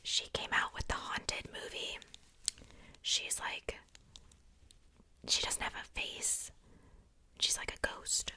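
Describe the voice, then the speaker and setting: Whispered female voice, young adult, very sharp bright tone, casual ASMR host, informal rambling. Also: slow, pauses often.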